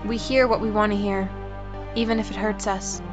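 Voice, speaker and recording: An actress playing a taunting, menacing woman who toys with her prey, calm and cryptic, in an audio drama. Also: mid-range voice, moderate pace, over music, natural voice.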